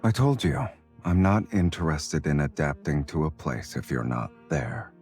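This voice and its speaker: A suave romantic lead from a romance game, with an extremely low voice. He is confident, affectionate and teasing, talking intimately and without hurry over faint music. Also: very animated, unhurried, darkish tone, exaggerated voice. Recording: music behind the voice.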